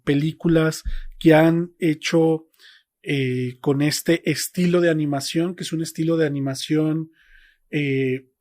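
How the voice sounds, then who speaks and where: A man hosting a casual film discussion, speaking haltingly as he searches for his words. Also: fairly high pitch, a little mellow, dry recording, clean studio sound. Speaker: film podcast host.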